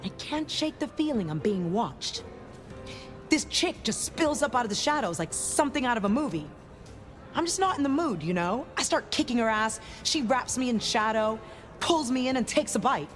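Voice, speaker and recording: A woman's voice: on the high side, animated, moderate pace, faint music behind, lightly acted. An actress voicing a tough, cocky female vampire enforcer in a video game, brash and streetwise. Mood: wry storytelling.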